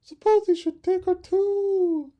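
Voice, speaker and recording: Guy doing a worried mama bird from a picture book, really high falsetto, fretful and urgent. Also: fairly even intonation, takes it slowly, warm tone, dull audio. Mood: distressed. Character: anxious protective mother bird, female.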